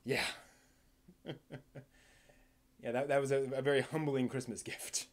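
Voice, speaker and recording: A man hosting a live stream, giving casual unscripted commentary in a halting, rambling way that repeats words and trails off. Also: medium pitch, fairly even intonation. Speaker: live stream host and anime reviewer.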